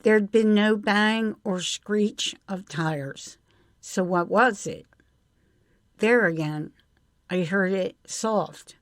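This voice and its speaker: A woman reads a first-person short story as its writer. She takes it very slowly, at a medium pitch. The sound is close and dry, free of room echo. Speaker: writer reading own story. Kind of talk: literary short story reading.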